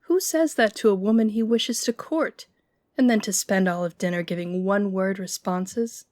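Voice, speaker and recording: A woman's voice: mid-range voice, normal speed, a little mellow, no room sound, studio quality, close to an everyday voice. A woman as an outspoken, gossipy young lady-in-waiting. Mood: indignant, candid. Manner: informal, teasing, openly indignant. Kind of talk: romantic fantasy audio roleplay.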